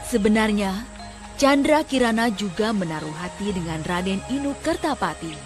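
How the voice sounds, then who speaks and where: A young woman tells a children's folk tale. Her voice is fairly high, and music plays behind the scripted narration. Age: young adult. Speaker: storyteller, student reading a folk tale.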